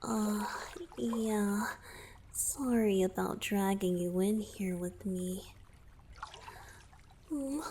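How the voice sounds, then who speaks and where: A woman voicing an affectionate, playful young merman boyfriend in a romantic ASMR roleplay, tender, breathy and often whispering. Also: medium pitch, warm tone, a little hiss or hum. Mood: soft, apologetic.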